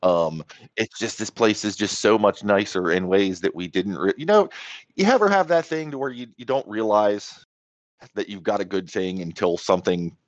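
A man's voice: on the low side, moderate pace, no room sound, highs cut off. A man in his forties co-hosting a podcast, laid-back and self-deprecating, swearing casually. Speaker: podcast co-host. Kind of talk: casual chat.

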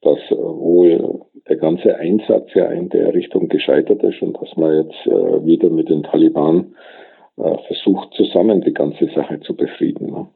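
A man's voice: sits low, steady tone, moderate pace, gravelly. A man giving his opinions informally in an interview, hesitant and thinking aloud. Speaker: interview guest. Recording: thin phone-like sound.

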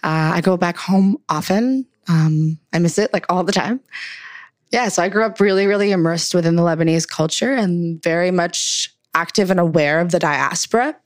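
A woman's voice: fairly low pitch, moderate pace, no room sound, clean studio sound. A young woman from Canada, a guest talking casually in an interview with plenty of "like" and "um". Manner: chatty, many fillers like "like" and "um". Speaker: guest, writer.

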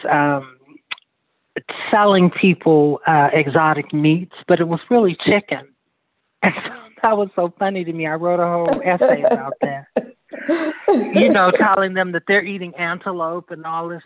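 A woman's voice: deep voice, no echo. A woman from Sudan, speaking bluntly and at length as a guest in a candid personal interview. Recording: tinny dry phone line.

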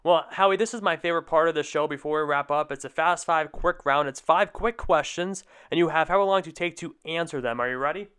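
A man's voice: on the high side, quick pace, few pauses, fairly bright tone, clean tone. A young man hosting a podcast, asking his guest questions in a casual conversational style. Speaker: podcast host, interviewer.

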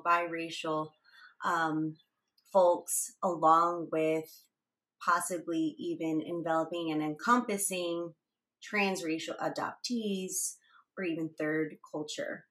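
A woman talking as a guest in a casual conversation, animated and laughing as she tells stories. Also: on the low side, reverberant.

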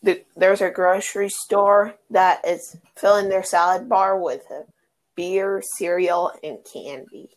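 A woman co-hosting a casual podcast, giving brief, hesitant answers with many pauses. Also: lowish voice, steady tone, low-quality recording. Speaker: podcast co-host.

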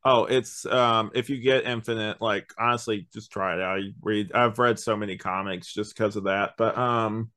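A man's voice: lowish voice, fairly even intonation, normal speed, hardly any pauses, bright, forceful tone, dry recording, clean studio sound. A young man co-hosting a podcast, giving a casual, rambling conversational review. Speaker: podcast co-host.

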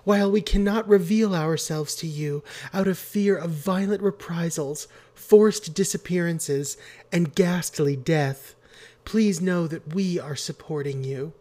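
A gentle, earnest woman voiced by a man in an audiobook story. The pitch sits well above plain narration, and the delivery is soft and grave. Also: a little slow, close to an everyday voice. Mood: grave, wondering. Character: earnest, gentle, sad woman amateur detective. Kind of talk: audiobook character voice.

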